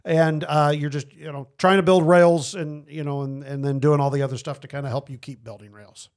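A man's voice: on the high side, flat delivery, brisk, no room sound, clean studio sound. A man hosting a casual board game review chat, explaining the game with lots of fillers and restarts. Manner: rambling, many fillers and restarts. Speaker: board game podcast host.

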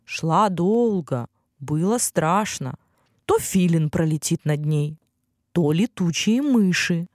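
Female fairy-tale narrator for children, highly animated intonation, very dry close sound. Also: slow pace, no room sound. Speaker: storyteller.

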